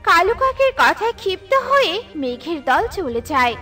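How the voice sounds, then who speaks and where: A woman's voice: very high voice, big pitch swings, normal speed, bright, forceful tone, soft background music. A woman narrating a scripted children's story.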